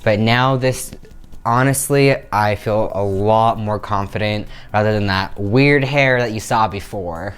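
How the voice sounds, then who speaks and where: A man's voice: fairly low pitch, unhurried, smooth. A young man in his twenties talking candidly to camera in a casual vlog, recorded on a lapel mic in a small bedroom. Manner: upbeat and candid, animated hand gestures. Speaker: vlogger. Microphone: clip-on lapel mic.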